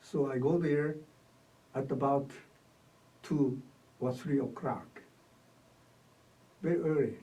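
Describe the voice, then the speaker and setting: An older man from Taiwan with a Taiwanese accent, recalling his life in an oral history interview in halting short phrases. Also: mid-range voice, steady tone, mellow. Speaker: interviewee, retired academic. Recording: distant microphone.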